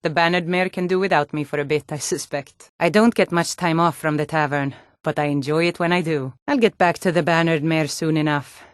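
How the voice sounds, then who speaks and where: A hardy Nord townswoman of a fantasy game world, acted by a woman, speaking. She is firm, deliberate and plain-spoken, with no nonsense about her. The sound is close and very dry, with no room around it. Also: low-pitched, steady tone, no echo, close to an everyday voice. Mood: plain, businesslike. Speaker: video game voice actor. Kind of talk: scripted fantasy game dialogue.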